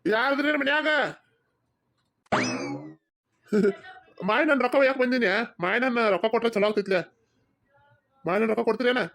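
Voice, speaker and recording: A woman's voice: a little high, fast, vocal fry. Woman doing a pushy village mango seller man. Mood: demanding. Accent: North Karnataka. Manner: insistent, wheedling salesman's talk. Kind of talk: cartoon comedy dialogue.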